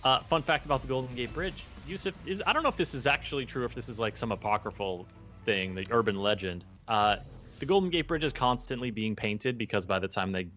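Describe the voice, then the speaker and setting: A man's voice: mid-range voice, fairly quick, a bit bright, faint music behind. A man hosting a game livestream, reading chat and cheering the player on in playful casual talk. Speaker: stream host reading chat.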